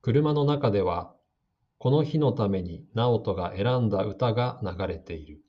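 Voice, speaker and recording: A man giving slow, calm, scripted narration for a radio drama meant for learners. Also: fairly low pitch, breathy edge. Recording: dull lo-fi audio.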